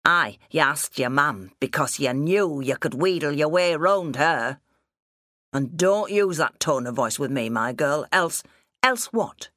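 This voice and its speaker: Woman putting on a gruff, domineering farmer father. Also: sits low, unhurried, a bit bright, no echo, studio quality. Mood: scolding, stern. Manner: growling, sarcastic, rustic dialect. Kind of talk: audiobook character dialogue.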